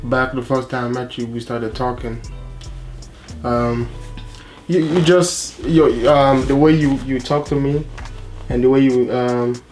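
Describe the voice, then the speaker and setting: A young man co-hosting a casual couple's video, soft-spoken and halting, with many pauses, and his voice is going as he gets sick. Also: mid-range voice, steady tone, soft background music. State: losing his voice, getting sick. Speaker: vlog co-host.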